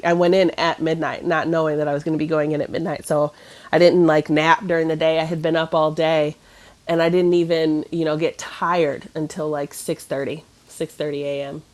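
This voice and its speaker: A woman's voice: low-pitched, fairly even intonation, moderate pace, a little mellow. Stuffy-voiced woman in her thirties. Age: 30s. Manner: rambling, lots of fillers and restarts. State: stuffy, nasal from a cough. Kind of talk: casual chat.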